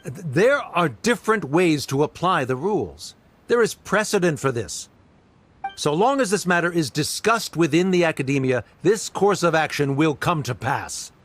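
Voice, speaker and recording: An actor playing a haughty, coldly rational scholar in a video game, speaking formally and condescendingly. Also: male voice, on the high side, animated, moderate pace, a bit bright, slight background noise. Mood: stern, official. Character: haughty male scholar accuser, cold and coolly rational. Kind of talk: scripted video game dialogue.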